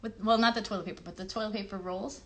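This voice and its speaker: A woman's voice: medium pitch, fairly even intonation, quick pace, fairly bright tone. A woman hosting a children's livestream, chatting casually to young viewers with cheery, sing-song greetings. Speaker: host of children's livestream, mother.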